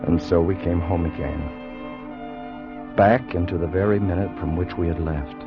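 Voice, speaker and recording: An actor playing an earnest, protective time traveller who narrates with solemn, theatrical intensity in a 1950s radio drama. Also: male voice, low-pitched, unhurried. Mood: haunted. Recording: muffled vintage radio recording with music.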